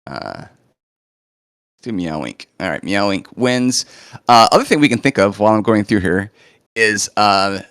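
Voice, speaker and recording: Low-voiced casual man, slightly hoarse. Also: expressive, measured pace, long pauses, a bit bright, no echo, clean studio sound. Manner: casual, chatty, lots of fillers. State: a bit hoarse, recovering from illness. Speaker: presenter of a live coding demo. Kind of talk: live screen-share walkthrough.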